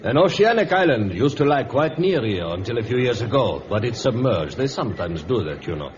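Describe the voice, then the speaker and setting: Smug lieutenant acted by a man, scheming, formal, very lively intonation, old radio drama, hissy recording. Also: mid-range voice, dull audio, close to an everyday voice. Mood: wary. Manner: formal, insinuating, deliberate phrasing. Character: scheming, smug colonial lieutenant, coolly threatening. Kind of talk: vintage radio drama acting.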